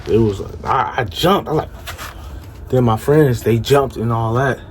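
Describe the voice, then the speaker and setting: A man's voice: medium pitch, unhurried. Stuttering young man, noisy recording. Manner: stuttering, repeats himself. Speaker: storyteller. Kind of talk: casual animated storytelling.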